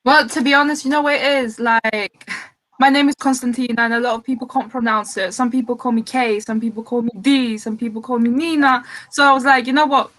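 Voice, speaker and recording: A woman's voice: fairly high pitch, fairly even intonation, a little fast, a bit bright, poor audio. A woman in her twenties from Greece, a guest chatting casually and laughing often. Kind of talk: casual chat.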